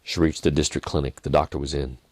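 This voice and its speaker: A man reading a dramatic story in a measured manner, with deliberate pauses and softened phrases. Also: very deep voice, little pitch movement, dry recording. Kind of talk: dramatic scripted narration.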